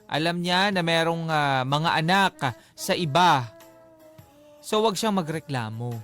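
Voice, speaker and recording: A man's voice: a little high, measured pace, a bit bright, faint music behind. A man with a Filipino accent co-hosting a radio advice show in casual, chatty banter, often chiming in. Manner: chatty, interjecting agreement. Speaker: radio co-host giving advice.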